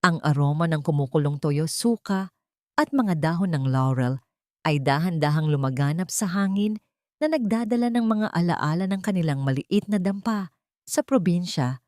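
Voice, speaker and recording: A woman narrating a scripted dramatic audio story in a calm, measured, solemn way. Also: on the low side, no room sound, clean studio sound.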